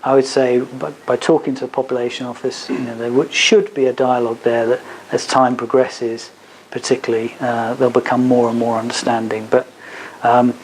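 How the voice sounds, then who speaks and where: A man with a mid-pitched voice gives a seminar answer as a legal adviser. He explains in a hesitant, careful way, hedging as he goes. There is faint noise behind him. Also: level tone, moderate pace, low-quality recording. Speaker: advocate giving a legal seminar. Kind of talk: seminar talk answering audience questions. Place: seminar at a business hub.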